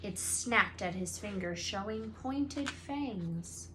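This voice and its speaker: Expressive woman reading a story aloud. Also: medium pitch, takes it slowly, background noise. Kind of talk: storybook narration read aloud.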